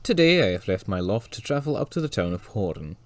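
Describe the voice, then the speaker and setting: Hoarse, low-voiced man narrating. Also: sing-song, fairly quick, no echo. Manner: dry, wry asides. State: hoarse from a cold. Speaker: railway video presenter. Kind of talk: scripted documentary narration.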